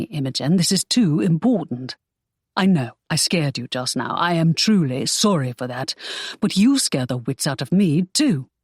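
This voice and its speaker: A woman voicing a protective young male romance hero in an audiobook, speaking calmly and firmly in a lowered voice. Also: husky, no room sound, studio quality. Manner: calm, firm, low and measured.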